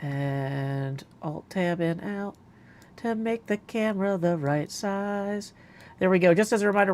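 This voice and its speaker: A man livestreaming a game in a casual, easygoing way, often thinking aloud as he goes. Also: on the high side. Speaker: game streamer.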